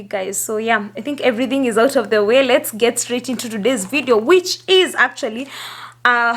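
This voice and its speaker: A hoarse woman, chatting upbeat to her viewers in a casual vlog, laughing often between phrases. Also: high-pitched, a bit bright. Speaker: YouTuber. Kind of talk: casual vlog talk to camera.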